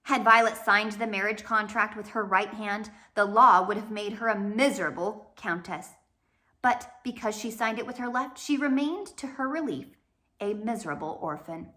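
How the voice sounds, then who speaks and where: A woman narrating a children's storybook aloud in an expressive, scripted reading style. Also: medium pitch. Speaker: audiobook narrator.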